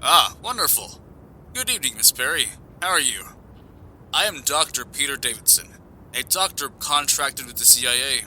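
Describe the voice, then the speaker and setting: An actor playing a genial, courteous government doctor in an audio drama, formal and careful, heard over a speakerphone. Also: male voice, medium pitch, lively intonation, normal speed, bright, forceful tone, a little hiss or hum, low-quality recording.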